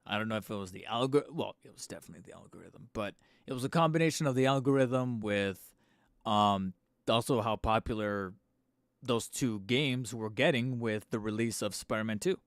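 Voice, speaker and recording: A man hosting a live stream from home on a studio microphone, a little hoarse and tired, rambling casually with fillers and restarts and poking fun at himself. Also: on the low side, normal speed, no room sound. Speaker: channel host streaming live. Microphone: studio microphone close up.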